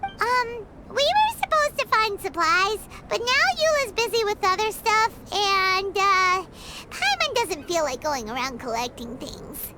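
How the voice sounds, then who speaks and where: A woman voicing a small, excitable, childlike fairy companion in a video game, exclaiming as she talks. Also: extremely high pitch, a little slow, heavy background noise, full character voice. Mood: reluctant. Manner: refers to self in third person, exclamatory. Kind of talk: animated game voice acting.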